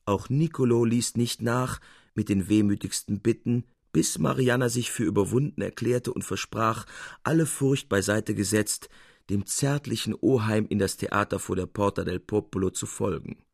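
A man narrating a literary tale in an expressive, measured storytelling manner. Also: lowish voice, gravelly, no echo, clean studio sound. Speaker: audiobook narrator.

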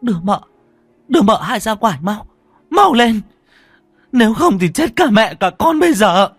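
A man's voice: sits high, big pitch swings, normal speed, fairly bright tone, slightly rough, dry recording, pitched above the performer's own voice, heavily acted. A man voicing a panicked, commanding middle-aged wife who speaks in urgent, stammering bursts in a horror story. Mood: urgent, angry.

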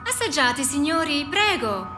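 A woman's voice: high-pitched, sing-song, normal speed. A woman playing an Italian deli-counter seller in a radio play, calling out to customers in an Italian accent. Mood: inviting. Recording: distant voice under loud music. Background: music.

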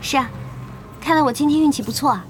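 A woman's voice: high-pitched, fast talker, natural voice. A woman playing a composed, friendly and loyal young gamer in an audio drama. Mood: friendly, eager. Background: loud music.

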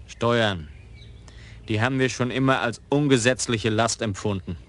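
An actor voices a calm Frisian police lieutenant in a radio play. He talks in short, plain phrases, unhurried and stubborn. His voice sits in a middle pitch. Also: close to an everyday voice. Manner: slow, terse and plainspoken. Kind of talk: radio drama acting.

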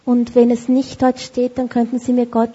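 Flat, husky woman interpreting. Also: a little high, normal speed. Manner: steady, with small self-corrections. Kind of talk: consecutive sermon interpretation. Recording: dull lo-fi recording.

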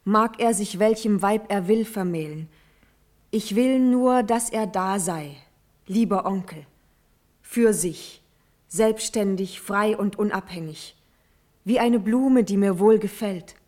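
A woman playing a devoted, brave young noblewoman of classical verse drama. She declaims slowly and solemnly in a medium-pitched voice, pleading with measured dignity. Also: darkish tone, close to an everyday voice. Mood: imploring.

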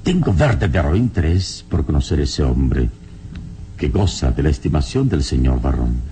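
A noble, serene adventure hero of old radio drama. The voice is very deep and dark, with wide, expressive swings in pitch and a solemn, measured delivery. The old recording carries faint noise and rumble. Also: male voice, faint music behind, heavily acted. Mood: calm, authoritative. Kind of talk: old radio serial acting.

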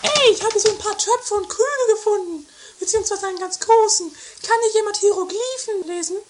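A woman's voice: extremely high pitch, brisk, a little mellow, slight background noise. A woman playing a vain, theatrical, scolding sister in an amateur comedy radio play. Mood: haughty. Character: Mathilde, vain theatrical sister in a castle household.

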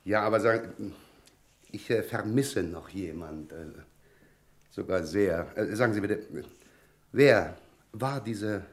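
A deferential businessman in a radio drama. His voice sits on the low side, and he talks courteously and haltingly, as someone easily flustered would. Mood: polite, flustered. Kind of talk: German radio play acting.

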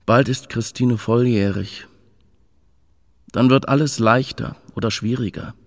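An actor playing a disgruntled, brooding royal guardian in a radio play, sardonic in manner. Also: male voice, mid-range voice, fairly even intonation, unhurried, lightly acted. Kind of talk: radio play acting, monologue and dialogue.

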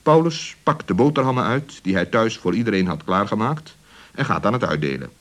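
A man tells a children's story for radio in a fairly low voice. His intonation is lively and rises and falls as he narrates the scenes. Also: fairly quick.

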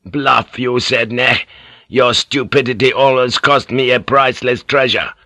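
A man's voice: medium pitch, steady tone, normal speed, sharp tone, dry recording, voice pushed up. A man voicing a hot-tempered Japanese feudal lord who speaks in a scornful, cutting way. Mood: furious, contemptuous.

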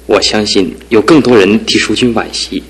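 A man's voice: fairly low pitch, steady tone, normal speed, close to an everyday voice, low-quality recording. Man playing a brooding young groom. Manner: brooding, self-pitying. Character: young groom, bitter about his lame leg. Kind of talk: 1970s radio drama acting.

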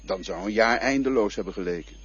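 An actor playing an enigmatic male guide to death in a radio play, philosophical and quietly insistent. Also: fairly low pitch, fast talker, breathy edge, lo-fi sound. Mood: musing. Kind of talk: radio drama acting.

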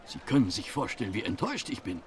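A sly, fawning detective. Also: male voice, on the low side, wildly swooping pitch, fast, slight background noise. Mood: fake dismay. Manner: theatrical, swooping, overly polite. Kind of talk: radio play acting.

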